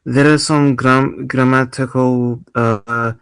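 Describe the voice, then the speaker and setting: A man from North Macedonia talks casually at a very slow, hesitant pace. His pitch is medium and the sound is very dry. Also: flat delivery, fairly bright tone, clear, resonant tone, no echo. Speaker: table-read participant giving feedback. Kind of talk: casual chat.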